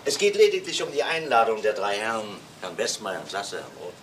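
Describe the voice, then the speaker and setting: An actor playing a polite, measured visiting detective in a radio crime drama, heard from off-mic. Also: male voice, lowish voice, big pitch swings, a bit bright. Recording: distant voice, hissy old radio tape.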